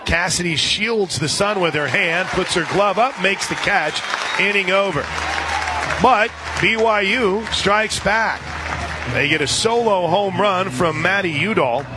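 A man calling softball play-by-play for radio in a conversational, folksy way, with asides between the calls. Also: high voice, unhurried, noisy background. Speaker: radio play-by-play sports announcer. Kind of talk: live softball commentary. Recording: crowd murmur behind broadcast voice. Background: outdoor stadium crowd.

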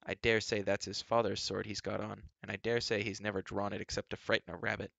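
A man's voice: on the low side, flat delivery, brisk, gravelly, fairly bright tone, no room sound, exaggerated voice. A man voicing a resentful, hot-headed young pig keeper in a storybook, muttering and bitter. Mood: sulky, bitter. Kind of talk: audiobook character voice.

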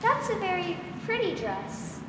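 A young woman as an eager, high-voiced little girl. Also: big pitch swings, a little slow, exaggerated voice. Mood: curious. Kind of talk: 1940s radio drama acting. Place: school theater stage. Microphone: stand microphone, masked performer. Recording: very echoey noisy theater hall, distant.

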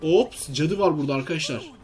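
A man's voice: a little high, brisk, a little mellow, soft background music. A man giving casual, chatty, exclamatory let's-play commentary to his viewers, often asking himself questions. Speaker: gaming video host.

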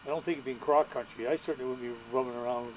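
A man's voice: mid-range voice, fairly even intonation, racing. A man chatting casually and in a rambling way outdoors on a camping trip. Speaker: camper on a family trip. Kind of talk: casual chat. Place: outdoor campsite by a lake. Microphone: camcorder microphone. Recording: noisy camcorder audio outdoors.

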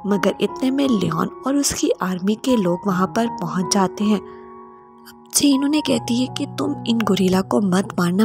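A woman narrating a movie plot recap in a steady, scripted voice-over. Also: mid-range voice. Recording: voice-over with loud background music.

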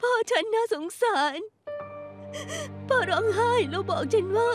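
A woman's voice: extremely high pitch, sing-song, a little fast, over music. An actress playing a distraught, insistent young woman in a dubbed TV melodrama, pleading and emotional. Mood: distressed, insistent. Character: distraught, insistent young woman fighting for her father's inheritance.

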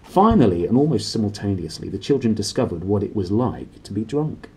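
A man reads a children's story aloud in a soft-edged, husky voice. His pitch sits fairly low but moves widely as he leans into dramatic emphasis. The tone stays mellow. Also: very animated, a little fast. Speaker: storybook reader. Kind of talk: expressive read-aloud of a children's novel. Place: small cluttered room indoors.

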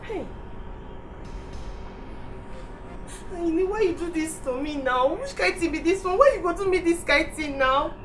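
Woman acting wronged Nigerian lover, very high voice, scolding, repetitive, Pidgin drama, distant noisy mic. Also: lively intonation, fairly quick. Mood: aggrieved, wailing. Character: betrayed young woman, furious, cursing her cheating lover. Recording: distant mic with faint noise.

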